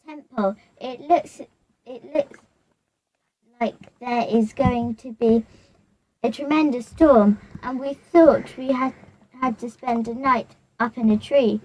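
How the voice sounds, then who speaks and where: Young sister, played by a woman: sensible, polite, earnest, slightly high voice, halting amateur radio-play delivery. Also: a little mellow, low-quality recording.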